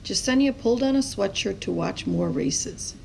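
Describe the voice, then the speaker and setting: A woman with a creaky voice reading a children's story aloud expressively, as a storyteller. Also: fairly high pitch, animated, moderate pace, darkish tone, a little hiss or hum, low-quality recording. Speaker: storybook reader.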